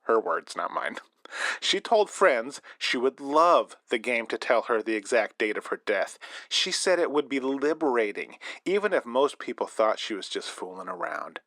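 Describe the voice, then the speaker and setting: A man's voice: mid-range voice, sing-song, moderate pace, a bit bright, no echo. An actor playing a male radio host narrating a spooky story in scripted style. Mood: storytelling.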